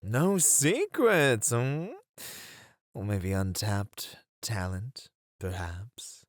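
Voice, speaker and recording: A performer voicing a flirty, playfully mocking demon in an ASMR roleplay, with a drawn-out, purring delivery. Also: mid-range voice, lively intonation, no echo, studio quality. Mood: flirty, coaxing.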